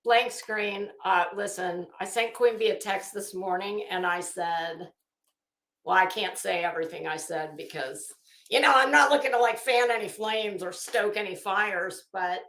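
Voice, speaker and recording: A woman's voice: mid-range voice, measured pace, fairly bright tone. A woman hosting a casual livestream chat, talking to viewers in a gossipy way with chuckling, coy asides. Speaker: livestream host. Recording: roomy, echoey room sound.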